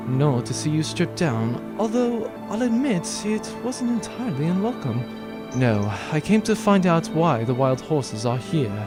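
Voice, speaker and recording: A woman's voice: sits low, very animated, unhurried, over music. A woman playing a cool, sardonic gunslinging infiltrator in a comic sci-fi audio drama, speaking precisely and with composure. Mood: wry, controlled. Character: cool, teasing female gunslinging space infiltrator.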